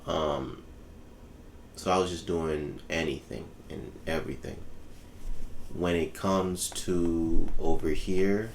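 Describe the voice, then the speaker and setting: Young man, musician guest, very low gravelly voice, unhurried, echoey room with faint hum. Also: steady tone. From The Bahamas. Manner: laid-back, easygoing, frequent ums. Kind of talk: casual interview chat.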